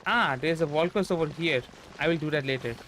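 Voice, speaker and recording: A man's voice: fairly high pitch, a little fast, a bit bright, slight background noise. A man giving casual gameplay commentary as a streamer, muttering to himself in short phrases. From India. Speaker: streamer, virtual avatar host. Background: faint game music.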